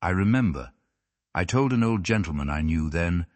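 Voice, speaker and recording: Man reading as a dreamy young husband, low-voiced. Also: animated, moderate pace. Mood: wistful. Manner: wistful, rambling storytelling. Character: mild, dreamy young husband, a city clerk.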